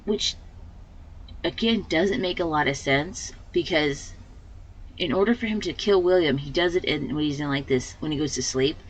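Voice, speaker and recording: A woman with a slightly hoarse voice hosting a let's play, giving casual commentary and thinking aloud as she plays. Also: sits low, normal speed, a little hiss or hum, rough recording. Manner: rambling, thinking aloud with fillers.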